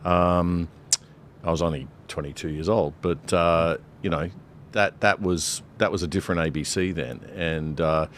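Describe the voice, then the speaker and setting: A man's voice: low voice, fairly even intonation, unhurried, slight background noise. A middle-aged Australian man, a broadcaster on a discussion panel, talking emphatically with many fillers and restarts.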